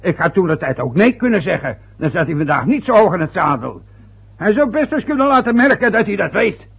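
A proud, aggrieved older father who grumbles and lectures in a husky, gravelly voice, pitched a little high. The old radio-play recording sounds dull and lo-fi. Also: big pitch swings, a little fast, full character voice. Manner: aggrieved, lecturing, rambling with hesitations. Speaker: father of a newly married son. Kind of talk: vintage radio play acting.

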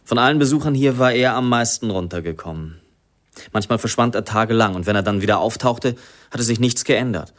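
A man's voice: mid-range voice, fairly quick, natural voice. A man playing a sombre drinking companion who plainly recalls a declining friend in a radio play. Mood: subdued.

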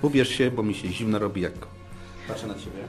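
A man's voice: lowish voice, quick pace, faint music behind, natural voice. A man playing a reluctant, exasperated lover in an amateur comic podcast drama, moralising through insistent repeated questions. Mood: exasperated, pleading.

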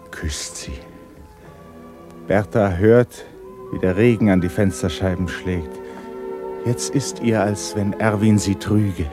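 A man narrating a literary love story in a radio play, in a measured, subdued, melancholy way. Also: on the low side, close to an everyday voice. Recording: radio production, faint music.

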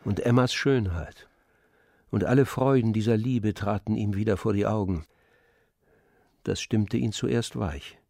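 A man narrating a literary radio drama in a measured, grave manner. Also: fairly low pitch, gravelly, a little mellow, no echo, clean studio sound.

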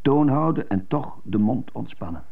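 An actor in a Dutch-language radio play, playing an earnest, brooding prisoner who loves jazz. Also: male voice, mid-range voice, expressive, moderate pace, soft background music. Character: jazz-loving prisoner, earnest, brooding over his strict childhood. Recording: muffled gravelly-sounding phone-like radio tape.

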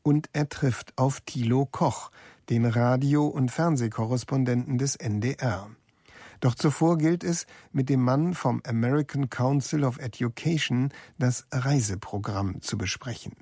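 A man's voice: medium pitch, a little slow, dark tone, slightly rough, dry recording, clean studio sound. A man delivering scripted documentary narration for radio.

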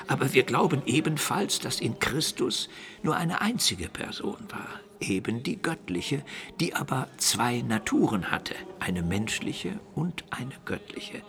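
Gravelly, frail old bishop. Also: male voice, medium pitch, sing-song, bright, forceful tone, heavily acted. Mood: patient, instructive. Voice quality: gravelly, breathy, often dropping to a whisper. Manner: measured, didactic, coughing. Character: elderly sickly medieval bishop, learned, wry, fatherly. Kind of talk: radio play acting.

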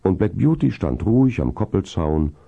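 A man narrating a children's radio play in an unhurried, atmospheric storytelling style, on a dull vinyl transfer. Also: deep voice, big pitch swings, gravelly, warm tone.

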